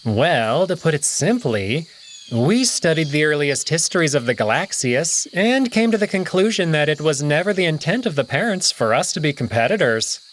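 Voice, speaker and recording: A man voicing a young male alien prince and diplomat, speaking in a measured, formal, unhurried way. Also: on the high side, very animated, slight background noise. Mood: explanatory.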